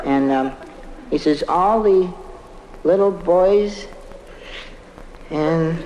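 A man's voice: on the high side, drawn-out words, mellow, dull audio. A man playing a flustered, smarmy children's TV host in a comedy monologue, hesitant and stammering, talking down to children. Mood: flustered, cloying. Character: flustered, smarmy male children's TV show host, "Uncle" type. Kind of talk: live comedy monologue, halting letter reading. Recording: echoey noisy old live recording, audience laughter.

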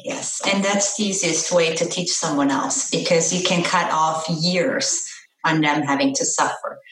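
A woman's voice: deep voice, a bit bright, a little hiss or hum. A middle-aged woman from Austria, a parent coach, chatting earnestly and cheerfully in an interview. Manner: earnest, upbeat, laughs easily. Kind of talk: casual interview chat. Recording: echoey room, voice a bit far from mic.

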